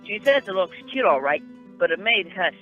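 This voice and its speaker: A man acts out a scrappy errand boy in a radio play. The voice sits fairly high and the talk is rough, ungrammatical slang, eager for a coin. It comes through thin as a phone call, with faint guitar music. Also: animated, normal speed. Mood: brash, insistent.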